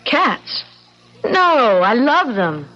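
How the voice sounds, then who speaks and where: Eager, high-voiced young wife. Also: female voice, big pitch swings, very slow, pushed and bright, heavily acted. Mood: delighted. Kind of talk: old-time radio drama acting. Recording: muffled, hissy vintage radio recording.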